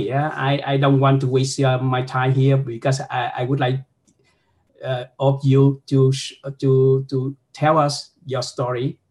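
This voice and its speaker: A man's voice: mid-range voice, darkish tone. A man in his fifties with a Vietnamese accent, a guest instructor talking frankly on a video call from a small home office, with chuckles and tag questions. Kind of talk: casual video call chat. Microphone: laptop webcam mic on video call.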